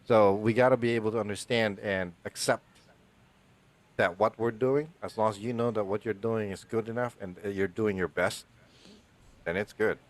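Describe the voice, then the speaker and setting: A man in his forties from the Philippines, co-hosting a podcast in a casual, rambling way with many restarts. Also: lowish voice. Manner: rambling, restarts, laughs often. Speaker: podcast co-host.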